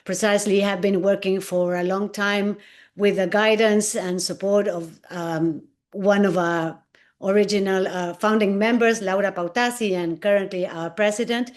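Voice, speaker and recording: A woman's voice: mid-range voice, steady tone. A woman from Argentina, a native Spanish speaker, presenting in English as an NGO director on a panel over a video call. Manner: measured, list-like delivery.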